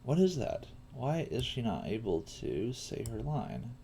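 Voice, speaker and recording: Man thinking aloud, very animated pitch. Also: a little slow, gravelly, a little hiss or hum. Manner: muttering to himself while troubleshooting, self-questioning. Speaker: coding teacher. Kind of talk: live coding stream, thinking aloud.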